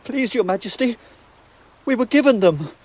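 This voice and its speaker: Timid, high-voiced servile creature. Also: male voice, lively intonation, moderate pace, a little mellow. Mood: fearful. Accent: British. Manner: hesitant, stammering, servile. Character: timid, deferential male creature pleading with a queen. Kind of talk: dramatic radio play acting.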